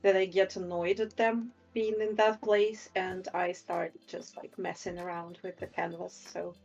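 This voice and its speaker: A woman's voice: lowish voice, little pitch movement, a little slow, gravelly, a little mellow, dull audio, faint music behind. A woman art director chatting casually on a livestream while she draws, talking in a hesitant way with many fillers and restarts. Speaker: associate art director drawing on stream.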